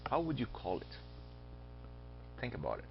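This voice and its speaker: Gravelly Brazilian-accented male instructor. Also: medium pitch, wildly swooping pitch, moderate pace, soft background music. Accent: Brazilian Portuguese. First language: Portuguese. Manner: chatty, asks students questions, jokes aside. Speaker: astronomy instructor. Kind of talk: screen-recorded lecture. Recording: dull lo-fi voice recording.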